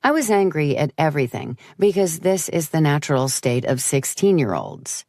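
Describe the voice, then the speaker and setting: Low-voiced woman reading an audiobook, dry sound. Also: big pitch swings, moderate pace, darkish tone, no room sound. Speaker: audiobook narrator. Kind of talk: scripted first-person storytelling narration.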